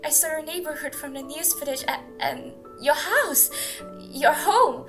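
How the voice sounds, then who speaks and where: A very high young female voice from a woman actor in a radio drama. She plays a caring, anxious friend, pleading and concerned, over light music. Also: unhurried. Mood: worried, relieved. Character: anxious, caring teenage girl, worried friend of refugee. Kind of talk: amateur radio drama acting.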